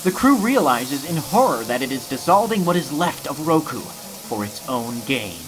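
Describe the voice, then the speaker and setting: A man's voice: medium pitch, very animated, moderate pace, background noise. A man narrating a scripted audio drama in a dramatic storytelling style. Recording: music behind the narration.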